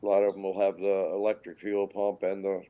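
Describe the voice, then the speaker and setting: Older Minnesota man in his 60s, low mellow voice, rambling and halting, dull lo-fi sound. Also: completely flat delivery. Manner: rambling, halting, with frequent restarts. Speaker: guest pilot. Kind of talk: casual storytelling interview.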